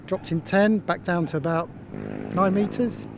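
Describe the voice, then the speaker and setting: A man hosting a sailing vlog, explaining things casually in a laid-back, wry, matter-of-fact way. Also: a little slow. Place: outdoors on a sailboat. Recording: noisy outdoor boat recording, engine rumble.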